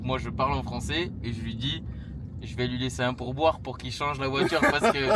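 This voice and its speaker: A man's voice: mid-range voice, a little fast. A man from France with a French accent, chatting casually and joking with friends as he vlogs his day. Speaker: vlogger. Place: out and about, in streets and a car. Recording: very noisy car and street vlog.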